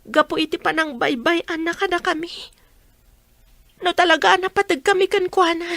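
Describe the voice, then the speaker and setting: A young daughter in a village radio drama, as a woman acts her, with a very high, earnest voice. She talks in a gently persuasive, pleading way. The sound is close and dry. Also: fairly even intonation, normal speed, creaky, no echo, exaggerated voice. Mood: downcast. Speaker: daughter of a blinded mother.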